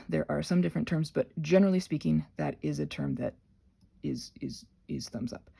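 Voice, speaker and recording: Female host, sick, creaky and slightly dark tone; casual, unscripted, halting delivery that keeps correcting itself. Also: low-pitched. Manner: rambling, halting, self-correcting with many trailing restarts. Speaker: host of a rambling audio channel.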